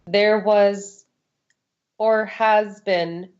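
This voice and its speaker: A woman's voice: mid-range voice, steady tone, very slow. A woman from Saudi Arabia giving candid personal testimony as an interview guest, frank and emphatic, repeating phrases for stress. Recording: dull, lo-fi sound.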